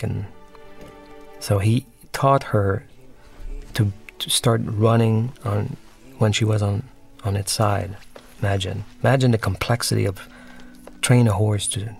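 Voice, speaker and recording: Low, gravelly man giving film commentary. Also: a little mellow. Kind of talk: film audio commentary, casual and reflective. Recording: film soundtrack playing underneath. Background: film music and dialogue.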